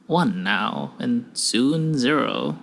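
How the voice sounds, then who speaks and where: A man voicing a sinister executive in a suit, speaking deliberately with cool, polite menace. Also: mid-range voice, expressive, creaky, a bit bright, heavily acted. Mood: quietly menacing. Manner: slow, deliberate, coolly menacing.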